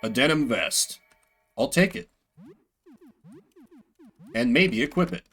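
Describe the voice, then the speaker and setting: A man doing live game commentary, excitable and casual, swearing freely and reading game text aloud. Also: mid-range voice, takes it slowly, creaky, soft background music. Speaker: game streamer.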